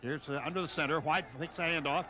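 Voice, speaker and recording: A high-voiced man works as a radio football announcer, calling each play in clipped, excitable bursts. The broadcast is noisy, with clear stadium ambience behind him. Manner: excitable, calls plays in short rapid phrases. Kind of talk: live football play-by-play commentary. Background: loud stadium ambience.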